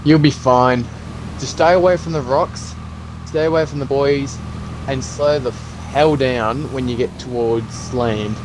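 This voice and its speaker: A man playing a calm, practical zombie apocalypse survivor in an improvised game roleplay, talking in an encouraging, instructive way. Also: mid-range voice, a little slow, background noise, lightly acted. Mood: reassuring.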